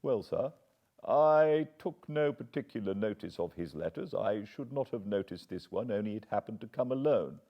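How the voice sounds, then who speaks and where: A man's voice: fairly low pitch, expressive, highs cut off, voice pushed up. A man doing a humble British butler. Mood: earnest. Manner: respectful, measured, apologetic. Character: deferential middle-aged male butler, earnest.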